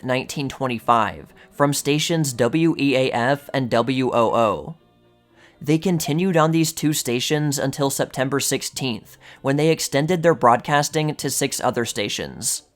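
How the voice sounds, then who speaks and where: A man giving a measured, scripted narration, reading an article aloud and enunciating each item. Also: mid-range voice. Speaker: channel narrator reading a vintage magazine article. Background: music.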